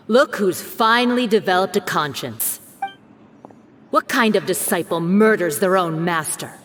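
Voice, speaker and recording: A woman's voice: medium pitch, expressive, unhurried, pauses often, a bit bright, slight background noise. An actress playing a scornful, vengeful woman in a video game, sardonic and menacing. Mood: scornful, threatening.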